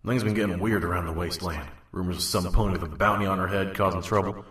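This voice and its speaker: Man playing a low, world-weary wasteland trader in echo. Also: fairly even intonation, fast talker, a bit bright. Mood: weary, grumbling. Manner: folksy, drawling, jaded. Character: world-weary male pony trader wandering a wasteland, gruff narrator. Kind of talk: scripted first-person narration and audio drama. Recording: roomy, echoing, distant mic.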